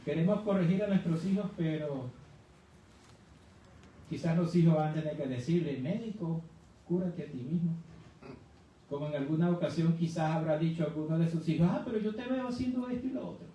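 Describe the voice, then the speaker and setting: A man's voice: on the high side, fairly quick, mellow. A man giving a conversational Bible lesson as a church teacher, explaining didactically and putting rhetorical questions to his listeners. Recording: very echoey room, distant mic, faint noise.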